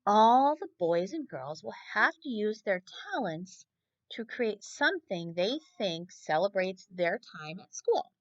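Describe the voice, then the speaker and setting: Animated school principal read by a woman. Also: medium pitch, measured pace, no room sound, dull audio. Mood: announcing. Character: woman school principal making a proud announcement.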